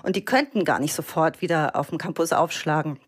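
A woman journalist answering interview questions about universities in an explanatory way, often pausing with fillers. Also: deep voice, slightly rough. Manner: explanatory, uses hesitation fillers. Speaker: education journalist.

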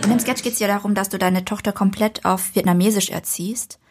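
A woman's voice: medium pitch, fairly even intonation, fairly quick, heavy background noise. Chatty woman podcast host. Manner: curious, chatty, youthful slang. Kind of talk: casual podcast interview.

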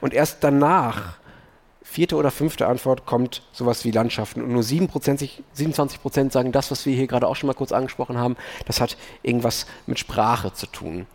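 A man's voice: medium pitch, brisk. A man hosting and moderating a live panel chat, chatty, with hesitant fillers as he cites facts. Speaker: podcast host and moderator.

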